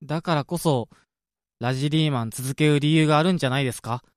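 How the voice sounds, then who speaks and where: A man's voice: fairly high pitch, fast, no echo, studio quality, close to an everyday voice. A man playing an easygoing, matter-of-fact junior friend in a radio drama, speaking in a casual, polite conversational way. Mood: reassuring. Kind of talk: amateur radio drama dialogue.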